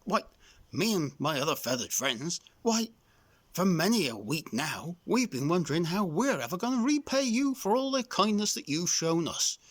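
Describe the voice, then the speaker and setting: Casting: male reader as old rustic crow, kindly, folksy rambler, repeats himself, very animated pitch. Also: moderate pace, no room sound. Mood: warm, grateful. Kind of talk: children's storybook character voice.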